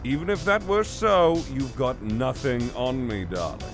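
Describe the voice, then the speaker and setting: A man's voice: medium pitch, lively intonation, a little slow. A man playing a suave, theatrical master thief in an audio drama, smug and easily flustered. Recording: music behind the voice.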